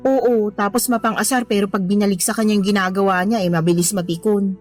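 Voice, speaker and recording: A woman's voice: mid-range voice, fairly even intonation, fast talker, darkish tone, faint music behind, close to an everyday voice. An actress playing an emotional young girlfriend in a radio drama, defensive and pleading by turns. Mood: confiding.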